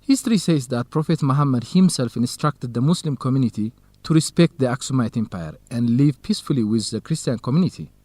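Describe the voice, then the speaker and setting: An actor with an Ethiopian accent playing an organized, courteous, gently grumbling male researcher in an educational radio drama, speaking in a measured, explanatory way. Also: medium pitch, dry recording, close to an everyday voice. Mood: informative, earnest.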